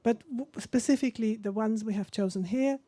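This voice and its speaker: Chatty woman moderator, mellow voice. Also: on the high side, brisk, dry recording. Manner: friendly, rambling with frequent restarts. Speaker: workshop moderator. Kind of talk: moderating a policy workshop. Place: conference room.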